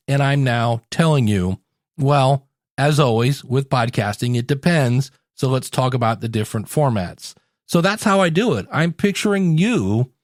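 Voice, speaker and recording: A man's voice: mid-range voice, dry recording, studio quality. An American man hosting a solo podcast, coaching listeners in a conversational, direct way. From United States, Ohio. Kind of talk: solo podcast talk, teaching.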